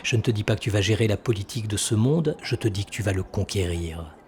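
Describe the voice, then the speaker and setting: An actor playing a calculating senator who persuades with measured authority in an audio drama. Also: male voice, fairly low pitch, a little mellow, slight background noise, lightly acted. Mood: persuasive. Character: calculating, persuasive male senator and military schemer.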